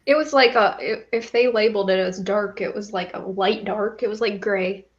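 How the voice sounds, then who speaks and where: A woman's voice: mid-range voice, fairly even intonation, normal speed, lo-fi sound. A woman giving her opinions as a panelist in a casual chat about books. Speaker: book club panelist.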